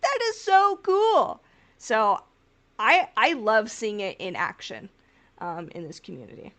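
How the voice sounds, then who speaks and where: A woman's voice: fairly high pitch, big pitch swings, unhurried, fairly bright tone. A woman co-hosting a podcast in casual, encouraging chat. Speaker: podcast co-host.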